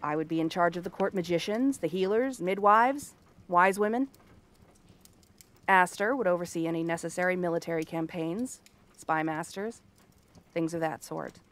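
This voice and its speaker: Casting: female actor as cursed princess turned frog girl, cranky and theatrical, sharp-tongued but secretly kind, ASMR fantasy roleplay. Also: lowish voice, moderate pace, close to an everyday voice. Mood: wry storytelling. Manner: haughty, sardonic, theatrical tsundere delivery.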